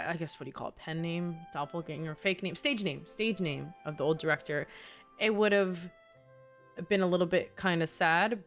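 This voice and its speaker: Female streamer, New York accent, slightly low pitch, chatty and musing game commentary over faint music. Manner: musing, thinking aloud in loose, chatty phrasing. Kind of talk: casual game livestream commentary.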